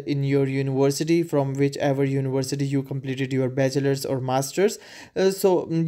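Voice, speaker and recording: Tutorial voice-over: man, Urdu first language, fairly high, warm tone, halting with lots of "uh". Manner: hesitant, frequent filler sounds. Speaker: tutorial presenter. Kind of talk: screen-recorded walkthrough.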